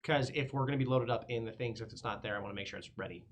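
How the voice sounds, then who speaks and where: A man's voice: mid-range voice, rapid-fire. A man chatting casually as a player in a tabletop roleplaying game.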